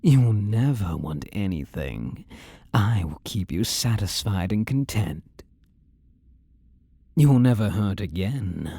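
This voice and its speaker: A man's voice: lowish voice, mellow, slightly rough, no echo, heavily acted. A man acting a possessive, seductive demon lover in an intimate audio roleplay, commanding and sinister, sometimes sinking toward a whisper. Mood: commanding, tender. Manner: slow, commanding, sinister purr, often dropping to whispers.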